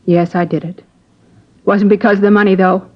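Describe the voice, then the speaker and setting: A woman playing a defiant, sharp-tongued woman who killed the man she loved, in a 1950s radio drama. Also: mid-range voice, little pitch movement, normal speed, close to an everyday voice. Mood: confessing. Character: bitter middle-aged woman, killer of jilted love. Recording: dull old radio broadcast.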